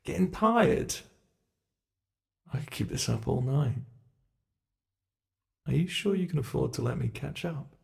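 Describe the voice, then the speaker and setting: A man voicing a sadistic, playful ancient monster hunting human prey in an ASMR roleplay, taunting theatrically and slipping into breathy whispers. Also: mid-range voice, lively intonation, measured pace, pauses often, darkish tone. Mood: predatory teasing. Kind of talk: ASMR roleplay, scripted monologue.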